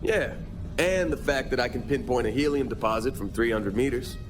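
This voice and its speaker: A man's voice: mid-range voice, lively intonation, normal speed, over music, natural voice. An actor playing an easygoing but jittery male miner sidekick in a video game, talking in casual, sarcastic asides. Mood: joking, easygoing.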